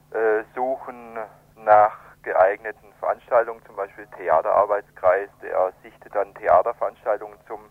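A man answering interview questions over the telephone, hesitant and pausing often mid-sentence. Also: fairly low pitch, no room sound. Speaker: interview guest, children's radio editor. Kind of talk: spontaneous phone interview answer. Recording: tinny telephone line.

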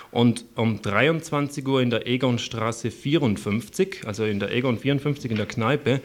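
A man presenting live event listings on community radio, informally and with chatty asides. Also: mid-range voice. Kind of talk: live community radio announcements, part improvised.

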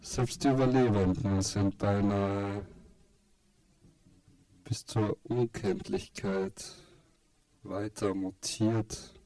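A man narrating an experimental radio play in halting, fragmented short phrases. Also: lowish voice, fairly even intonation, dark tone, no echo, far from the mic.

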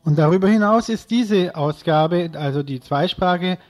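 Higher-voiced man reading a radio report. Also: normal speed, a little mellow. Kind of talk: scripted news report.

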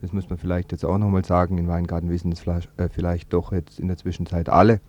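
A man's voice: low voice, level tone, fast, darkish tone, lo-fi sound. A man presenting on radio with spontaneous commentary, often rephrasing as he goes. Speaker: radio host.

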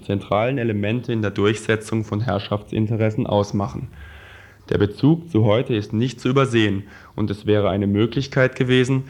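A man with a fairly low voice reads a scripted political text aloud as a radio presenter. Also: a little mellow.

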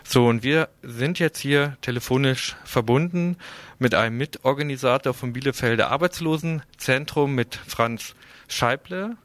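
A man's voice: medium pitch, measured pace, a bit bright, no room sound. A man presenting live radio news and interviews in an informal, slightly hesitant manner.

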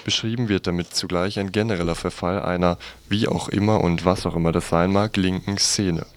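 A man's voice: low-pitched, steady tone, brisk, no echo. A man presenting on radio from a script.

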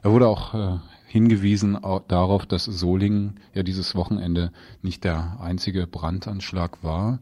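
A male radio co-presenter adding to a studio report in loose, halting, unscripted phrases. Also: low voice, fairly even intonation, warm tone. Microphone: studio microphone.

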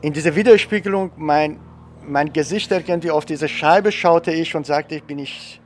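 A man's voice: a little high, moderate pace, slight background noise. A man, an education trainer, reflectively telling a personal story in an interview, with frequent fillers and restarts.

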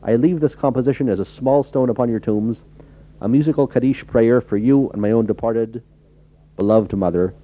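A man's voice: mid-range voice, moderate pace. Solemn man reading poetry, slightly muffled. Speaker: composer reading his own poem. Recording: dull, slightly muffled radio recording.

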